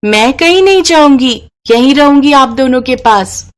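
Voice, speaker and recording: A woman's voice: sits high, animated, moderate pace, voice pushed up. A woman voicing a playful, indulged seven-year-old village girl in a cartoon story, childlike, cheeky and dramatic. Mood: insistent. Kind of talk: Hindi moral-story cartoon voice acting.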